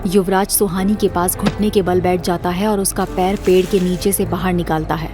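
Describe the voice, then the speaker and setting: Female narrator, lowish pitch, scripted horror storytelling, measured, dramatic, clear background music. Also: steady tone.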